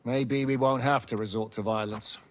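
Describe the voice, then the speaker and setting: A man's voice: mid-range voice, fairly even intonation, normal speed, natural voice. An actor playing a commanding male raid captain giving orders in a businesslike way.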